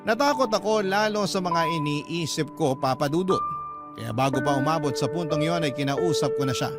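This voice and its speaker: A man's voice: on the high side, moderate pace. A man with a Filipino accent narrating a scripted first-person story for radio drama. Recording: prominent music bed under narration.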